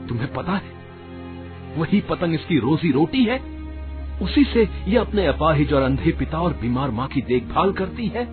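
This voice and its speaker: A man's voice: on the high side, big pitch swings, measured pace. A man voicing a righteous, reproachful adult scolding a child in a moral story. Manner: reproachful, emphatic. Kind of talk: Hindi moral story voice acting. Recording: phone-like thin sound, music behind.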